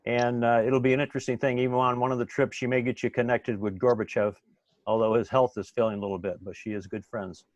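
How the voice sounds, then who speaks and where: A man's voice: medium pitch, level tone, quick pace, no room sound, highs cut off. Chatty man hosting a dry online meeting. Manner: chatty, filler-heavy. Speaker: meeting host.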